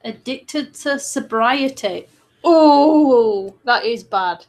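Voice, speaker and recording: A woman's voice: on the high side, animated, drawn-out words, a little mellow. A woman from northern England chatting casually as co-host, teasing and laughing often. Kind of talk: casual chat.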